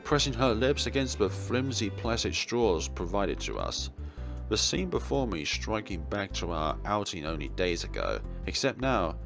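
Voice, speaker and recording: A young man with a fairly low voice reads a visual novel aloud. His pitch swings widely in theatrical, expressive narration. Game music plays underneath. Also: moderate pace, creaky. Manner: theatrical, expressive, with playful asides. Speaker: let's player.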